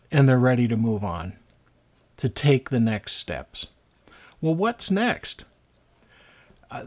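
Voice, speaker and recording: A man in his sixties speaks as an author and teacher addressing the camera from a prepared script. His voice sits a little higher than usual for a man. He takes his time, setting phrases apart with pauses and leaning on key words. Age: 60s.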